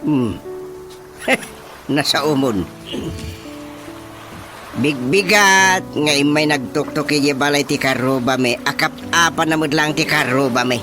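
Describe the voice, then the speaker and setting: A man's voice: on the high side, slow pace, pauses often, music in the background. A man with a Filipino accent playing a folksy, easily muddled village chairman in a comedic radio drama. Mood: puzzled.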